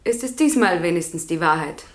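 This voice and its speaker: An actress playing a sceptical, formal state prosecutor with a cutting manner in a German radio courtroom drama. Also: female voice, fairly low pitch, lively intonation, brisk, lightly acted. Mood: sceptical, cool. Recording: roomy, echoing courtroom sound.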